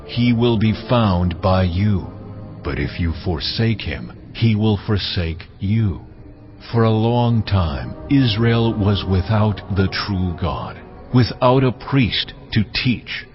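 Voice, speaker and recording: Solemn biblical prophet, very slow deliberate oratory, lowish voice, audio drama with music behind. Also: male voice, expressive. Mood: solemn exhortation. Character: solemn Hebrew prophet exhorting a king and people. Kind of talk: dramatized audio Bible acting. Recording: dull lo-fi sound, music behind.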